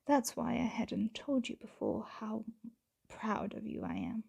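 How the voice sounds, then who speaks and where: A woman voicing a possessive yet tender vampire owner in an ASMR roleplay, speaking softly and often whispering in formal, gently commanding words close to the mic. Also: fairly high pitch, huge pitch swings, exaggerated voice. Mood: hesitant, fond. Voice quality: soft, breathy, often whispered. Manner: slow, hushed, gently commanding, formal wording. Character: possessive, tender female vampire owner addressing her servant.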